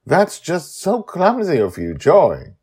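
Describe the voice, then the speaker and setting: A man's voice: on the high side, huge pitch swings, slow, darkish tone, slightly rough, pitched above the performer's own voice, full character voice. A man voicing an anxious, nervous father in a storybook reading, with an exclamatory manner. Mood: amused. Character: anxious, nervous father who gives way to laughter.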